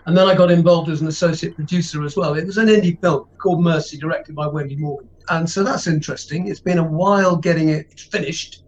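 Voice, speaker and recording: A man's voice: fairly high pitch, steady tone, fast, few pauses, dull audio, a little hiss or hum. A man who is an actor and musician, guesting on a podcast over a video call, musing and hesitant. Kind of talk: casual interview chat.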